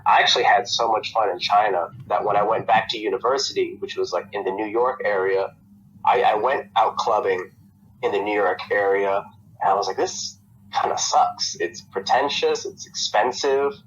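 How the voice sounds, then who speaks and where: A man's voice: on the low side, moderate pace, a bit bright, husky, dull audio. A young American man, a podcast guest, talking casually in a rambling way with lots of fillers and restarts. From United States. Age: young adult.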